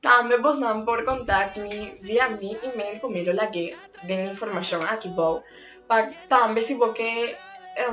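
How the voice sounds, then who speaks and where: A woman from Curaçao with a Papiamento accent, explaining ways to earn from home in a chatty, casual vlog that mixes languages. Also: a little high. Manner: chatty, switching between languages. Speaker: YouTuber. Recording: echoey room with faint music.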